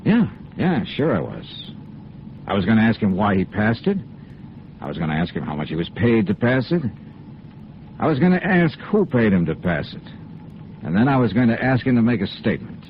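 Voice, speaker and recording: A lowish, highly animated male voice as a tough, wry investigator in vintage radio noir. The delivery is clipped and hard-boiled, and the old recording is muffled. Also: normal speed, slight background noise. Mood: defiant, wry. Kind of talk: 1950s radio noir acting.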